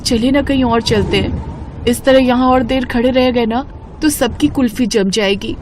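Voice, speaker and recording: A woman's voice: fairly high pitch, moderate pace, noisy background, pitched above the performer's own voice, natural voice. A woman voicing a worried, complaining poor mother in a cartoon story. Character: worried, scolding poor young mother of a family.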